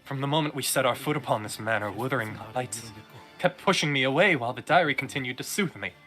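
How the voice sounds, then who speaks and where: Brash, brooding young game hero, played by a male amateur, blunt and forceful, music underneath. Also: quick pace, lightly acted. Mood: somber, earnest. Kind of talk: amateur fan voice-over of game dialogue. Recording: low-quality game capture with music.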